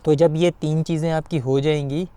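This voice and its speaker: A man giving an informal explainer to viewers, speaking haltingly with repeated filler phrases. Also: on the high side, fairly even intonation, mellow, smooth. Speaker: video guide host.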